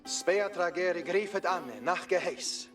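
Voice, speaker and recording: An actor playing an eager, rough medieval spearman in short game battle barks. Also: sits high, fairly even intonation, measured pace, a bit bright. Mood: eager, martial. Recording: distant game audio with music.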